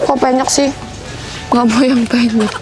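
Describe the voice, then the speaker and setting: Chatty young woman shopping, higher voice. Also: steady tone, measured pace, music in the background, rough recording. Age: young adult. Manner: chatty, thinking aloud while browsing shelves. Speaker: vlogger. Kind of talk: casual shopping vlog chat. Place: large supermarket indoors.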